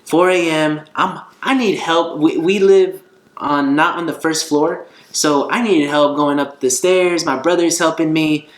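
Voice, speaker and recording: A man's voice: on the high side, fairly even intonation, measured pace. A man co-hosting a vlog, casually telling a personal story. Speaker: vlog co-host. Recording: echoey room with faint music.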